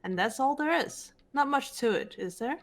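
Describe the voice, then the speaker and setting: A woman voicing a cool, serious teenage girl detective in a visual novel game, measured and matter-of-fact. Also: high voice, expressive, faint music behind, pitched above the performer's own voice. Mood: composed, serious. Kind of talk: visual novel character reading.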